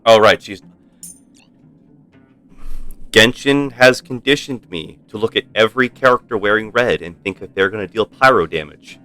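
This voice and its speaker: A man doing casual live gameplay commentary as a streamer, thinking aloud in a halting way and swearing now and then. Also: on the low side, pushed and bright, faint music behind. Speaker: game streamer.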